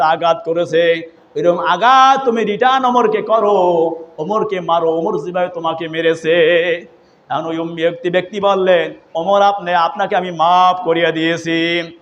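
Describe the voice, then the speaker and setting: A man preaching as an Islamic storyteller, impassioned, with drawn-out calls and repeated phrases. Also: high-pitched, a bit bright. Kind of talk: storytelling sermon. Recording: dull, lo-fi audio.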